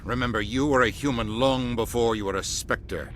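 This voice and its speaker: A man's voice: mid-range voice, moderate pace, fairly bright tone, slight background noise, natural voice. A man playing a stern, demanding human diplomat in a video game, formal and authoritative. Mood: reproving. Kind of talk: dramatic video game dialogue.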